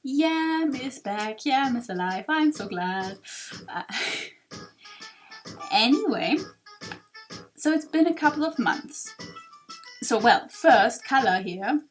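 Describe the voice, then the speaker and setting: A woman's voice: fairly high pitch, poor audio. A young woman hosting a let's play, giving casual, rambling game commentary with some hesitation. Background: faint game music.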